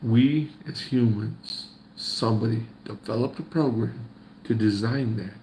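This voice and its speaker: Gravelly-voiced man giving a faith pep talk. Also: mid-range voice, unhurried, darkish tone, reverberant, slight background noise, rough recording. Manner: preachy, repetitive, folksy. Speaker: faith vlogger. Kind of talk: casual motivational talk to camera.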